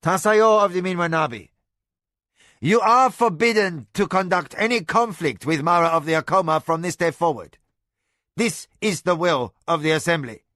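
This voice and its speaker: A man's voice: on the high side, moderate pace, sharp tone, no room sound, studio quality, voice pushed up. A man voicing stern magicians and a bitter proud lord in an audiobook reading, with formal pronouncements.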